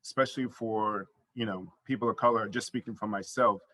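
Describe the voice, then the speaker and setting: Low-voiced man, loose and chatty. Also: steady tone, brisk, a bit bright. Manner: loose, many fillers like um and you know. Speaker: panel guest. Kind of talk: casual panel discussion.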